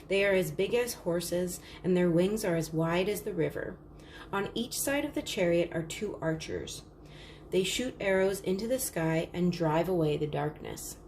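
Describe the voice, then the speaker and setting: A woman reading a storybook, voicing a practical, caring older sister, a girl who explains things calmly. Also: lowish voice, moderate pace, natural voice. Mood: calm storytelling. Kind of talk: storybook character dialogue. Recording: clearly noisy background.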